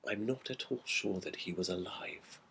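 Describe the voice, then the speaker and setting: A man acts out a scared schoolboy in a ghost story reading. The voice is low and husky for a boy. He speaks in an uneasy, hushed, hesitant way, keeping secrets. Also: moderate pace. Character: frightened, secretive schoolboy witness, urgent and uneasy.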